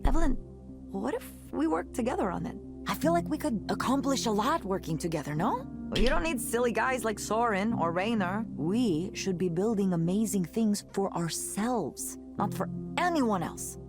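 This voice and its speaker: A woman's voice: medium pitch, expressive, moderate pace, music in the background, close to an everyday voice. An actress playing an eccentric, passionate reclusive woman musician in a video game, musing and effusive. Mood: excited, visionary.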